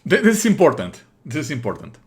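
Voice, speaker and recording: Sardonic man with lively, swooping delivery. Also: on the high side, fast, husky. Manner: sardonic, exasperated, expressive, swears casually. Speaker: game streamer. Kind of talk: live let's play commentary.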